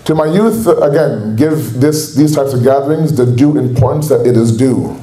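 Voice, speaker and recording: Man, Canadian accent, earnest preaching tone, mellow voice, roomy hall echo. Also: mid-range voice, moderate pace. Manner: earnest, exhorting. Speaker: speaker at a religious gathering. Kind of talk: sermon. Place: large hall at a community center. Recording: echoey hall.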